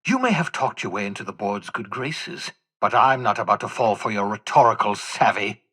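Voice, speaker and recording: An embittered old scientist turned rebel for a video game. The voice is husky and bright with forceful edge, swinging widely in pitch. He speaks in wordy, scornful, melodramatic flourishes. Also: animated, moderate pace, full character voice. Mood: contemptuous, indignant. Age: older. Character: eccentric, embittered old scientist rebel leading crazed inmates. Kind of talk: theatrical video game character acting.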